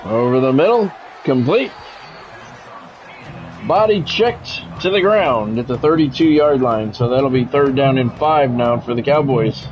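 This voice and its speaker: A man's voice: medium pitch, measured pace. American guy doing football game play by play, clipped calls n casual asides, kinda muffled, music in back. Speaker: play-by-play streamer. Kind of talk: live sports play-by-play commentary of a football video game.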